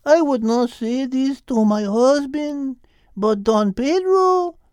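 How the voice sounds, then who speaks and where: A man's voice: very high voice, slow pace, darkish tone, clean tone, no echo, voice pushed up. A man voicing a patient female cow with a Spanish accent, explaining things like a long-suffering wife in a storybook. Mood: patient, confiding.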